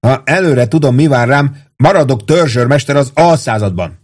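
A man reading an audiobook puts on a furious, impatient army sergeant. The voice is pushed high and loud with a rough edge, ranting and thundering with vain indignation. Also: close to an everyday voice. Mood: boastful, angry. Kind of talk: dramatized audiobook dialogue.

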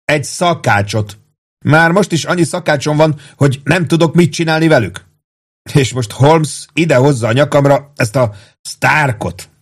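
A man's voice: mid-range voice, voice pushed up, close to an everyday voice. A man voicing a vain, impatient and furious army sergeant in an audiobook, ranting in thundering tirades. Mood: exasperated, indignant. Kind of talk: dramatized audiobook dialogue.

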